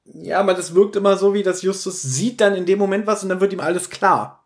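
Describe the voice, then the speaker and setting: Higher-voiced man recapping casually. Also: steady tone, brisk. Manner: retells dialogue in acted-out quotes. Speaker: podcast host.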